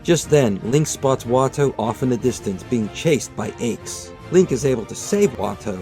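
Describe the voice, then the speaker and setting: Male narrator, average pitch, measured dramatic storyteller reading a script over light background music. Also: animated, a little mellow. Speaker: narrator of a manga retelling video.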